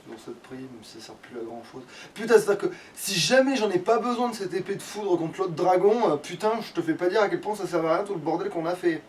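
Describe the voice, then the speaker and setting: A man's voice: high voice, expressive, fast, few pauses. A man chatting casually as he plays a game, grumbling and swearing as a let's player. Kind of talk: casual gaming commentary. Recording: distant mic, loud background noise.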